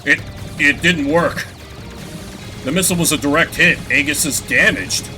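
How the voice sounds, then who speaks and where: A young man streaming a video game, giving excitable commentary and swearing casually, over game music. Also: fairly high pitch, normal speed. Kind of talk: gameplay commentary.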